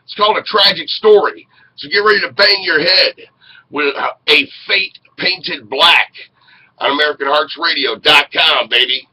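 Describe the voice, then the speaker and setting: A middle-aged man hosts a music show like a casual radio DJ. His voice is very bright and forceful, somewhat high and rough with rasp. He talks in slangy, hyped showman fashion. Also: normal speed, rough recording. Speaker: host of a music showcase show. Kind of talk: casual radio DJ-style introduction. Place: small indoor room with poster-covered walls.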